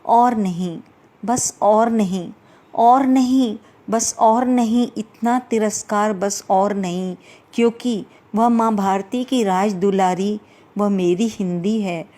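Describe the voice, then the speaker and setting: A woman reciting a poem with slow, impassioned delivery at a Hindi day event. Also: mid-range voice, dark tone. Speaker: poetry reciter.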